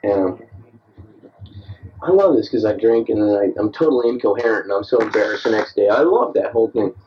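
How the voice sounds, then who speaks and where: A man's voice: on the low side, animated, a little fast, far from the mic, lo-fi sound, slight background noise. A man of thirty-one, a bit drunk after beers, rambling and self-deprecating as he records a late-night diary on an answering machine. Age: 30s. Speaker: diarist recording himself.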